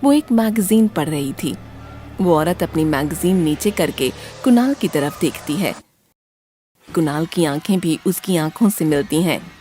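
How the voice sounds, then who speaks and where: A woman narrating a scripted horror story in a dramatic, deliberate storytelling manner. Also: deep voice, animated, dark tone, soft background music.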